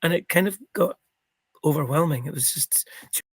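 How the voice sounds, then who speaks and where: A middle-aged man with a croaky, gravelly voice, chatting as an interview guest and rambling with many ums and restarts. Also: a little high, normal speed, no echo. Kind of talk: casual interview chat.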